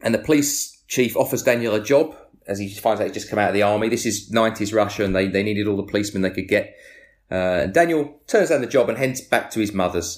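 A man, a podcast guest who talks through topics in a loose, rambling way with many restarts. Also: lowish voice. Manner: rambling, frequent restarts and fillers. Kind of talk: casual podcast discussion.

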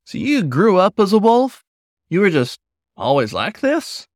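A man plays a young male werewolf from an audio story, lifted in pitch. He sounds curious and tentative, always questioning and a little unsure of himself. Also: sing-song, measured pace, no echo, clean studio sound.

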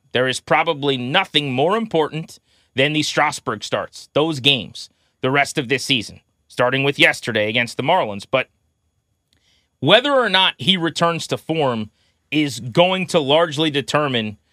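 A man hosting a sports podcast with conversational commentary and deliberate, emphatic phrasing. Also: on the high side, pushed and bright, no room sound, studio quality.

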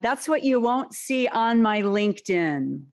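A woman executive guest in a business interview, speaking in a measured, reflective way. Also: fairly high pitch, very animated, darkish tone. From United States.

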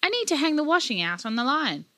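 A woman voicing an exasperated, nagging grandmother, sharp and scolding. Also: sits high, big pitch swings, fast talker, no echo, pitched above the performer's own voice, exaggerated voice. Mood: annoyed.

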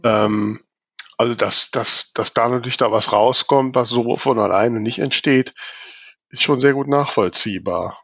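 A man's voice: medium pitch, unhurried, a bit bright, dry recording. A man hosting a podcast in a casual, conversational style. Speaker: podcast host.

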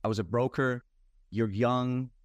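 A man's voice: mid-range voice, steady tone, measured pace, dry recording. A man around forty, from Turkey with an American accent, telling his career story conversationally as an interview guest. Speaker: podcast guest, finance executive.

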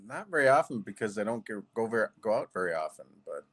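A man's voice: on the low side, big pitch swings, fast talker, a bit bright. A man with a nasal voice, chatting easily as a podcast guest. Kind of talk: casual chat.

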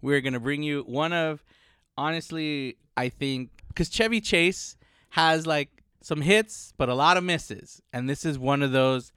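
A man's voice: on the high side, a little slow, fairly bright tone, smooth, dry recording, studio quality. A man in his thirties hosting a podcast, rambling in casual chat and laughing often, with a slight cough. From Chicano, United States. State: slight cough, deeper voice than usual. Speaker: podcast host.

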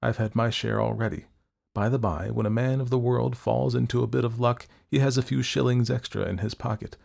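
A man acts out a cynical young male doctor with murder behind him, from a gothic storybook. He is controlled, worldly and persuasive, with a slightly creaky edge to his coaxing. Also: mid-range voice, fairly even intonation, a little fast, darkish tone. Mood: smooth persuasion.

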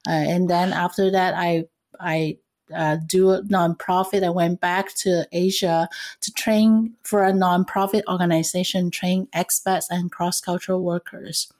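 A woman from Vietnam whose first language is Cantonese, a guest telling her career story in an earnest, slightly halting way. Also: fairly low pitch, flat delivery, darkish tone. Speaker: guest, intercultural trainer and coach. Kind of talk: podcast interview.

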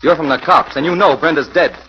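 An actor playing a ruthless hoodlum gunman who talks in blunt, gruff gangster slang, in 1940s radio acting. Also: on the high side, brisk, close to an everyday voice. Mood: menacing. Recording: dull noisy 1940s radio transcription.

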